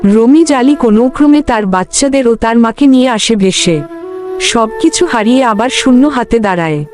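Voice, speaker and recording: A woman's voice: fairly high pitch, lively intonation, normal speed. A woman narrator reading a scripted story for a cartoon. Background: background music.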